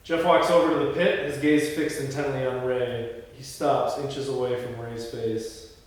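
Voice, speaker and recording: A man's voice: mid-range voice, flat delivery, normal speed. A man leading a script table read as director, talking casually and decisively with a group, sometimes reading out stage directions. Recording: echoey reverberant room, distant mic, faint noise.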